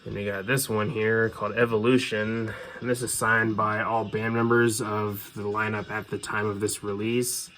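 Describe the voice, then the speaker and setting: A man's voice: fairly low pitch, level tone, moderate pace, few pauses, a bit bright, soft background music. A man hosting a casual show-and-tell, talking in an informal, opinionated fan's way as they go through their collection. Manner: casual, opinionated fan talk. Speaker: host presenting their music collection.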